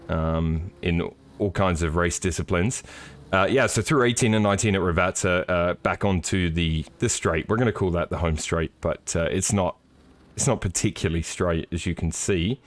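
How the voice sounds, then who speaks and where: A middle-aged man commentating a sim race on a live stream, slightly hoarse, relaxed and joking with many fillers. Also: low-pitched.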